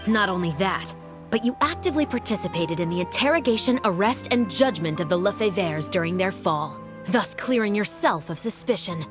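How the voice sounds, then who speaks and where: A woman's voice: medium pitch, animated, normal speed, music in the background, lightly acted. A woman voicing a stern, righteous female security patrol captain in a video game, authoritative, formal and declamatory. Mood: accusing. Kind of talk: dramatic video game voice acting.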